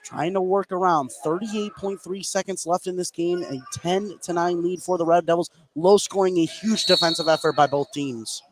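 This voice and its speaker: A man doing live play-by-play basketball commentary for radio, getting excitable on big plays. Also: sits high, normal speed, few pauses. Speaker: play-by-play sports announcer. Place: indoor school gym. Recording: clearly noisy live gym broadcast. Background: gym ambience and noise.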